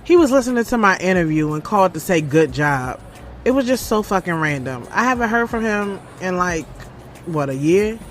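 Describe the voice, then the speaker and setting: A woman's voice: fairly low pitch, expressive, moderate pace, lightly acted. A woman playing a guarded, blunt young woman author in an audio drama, speaking frankly and with slangy sass about her love life. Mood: animated, casual. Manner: candid, slangy, sassy. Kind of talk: first-person audio drama narration and dialogue. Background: music bed.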